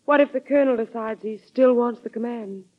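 A woman acting in an old-time radio drama as a gently concerned attendant to a president, soft and solicitous. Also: a little high, a little fast, natural voice. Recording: thin, phone-like old radio recording.

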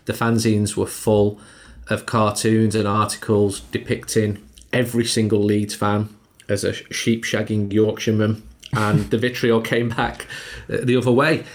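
Casting note: middle-aged man, lowish voice, measured clipped delivery. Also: little pitch movement. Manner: measured, clipped historical points. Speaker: podcast guest. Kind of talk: casual chat.

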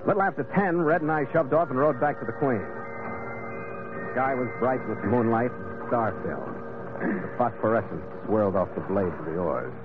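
A man's voice: medium pitch, close to an everyday voice. An actor playing a wry, terse ship's captain who narrates his adventure in an old-time radio drama. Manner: terse, dry, hard-boiled. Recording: tinny vintage radio, music behind.

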